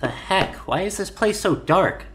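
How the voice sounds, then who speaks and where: A man's voice: high-pitched, lively intonation, a little slow, fairly bright tone. A man playing a sarcastic, impatient robber henchman in an audio drama. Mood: alarmed.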